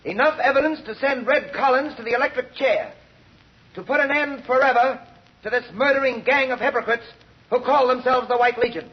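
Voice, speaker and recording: A man's voice: extremely high pitch, brisk. A man playing a resolute, righteous young prosecutor in an old radio drama, speaking emphatically and declaiming. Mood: triumphant, accusing. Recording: muffled vintage radio recording.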